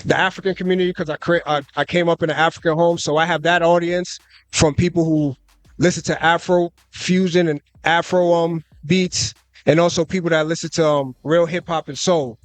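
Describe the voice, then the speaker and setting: A man's voice: fairly high pitch, brisk, no echo. A young man from the United States, a guest rapper, talking loosely in a casual radio interview with plenty of fillers. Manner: relaxed, loose, with many fillers and "you know what I'm saying". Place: live concert radio booth.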